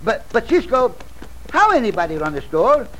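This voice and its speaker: A man playing a childlike, excitable Mexican sidekick in broken English in an old-time radio western. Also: very high-pitched, normal speed. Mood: playful. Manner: broken English, calls himself by name. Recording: noisy vintage radio recording.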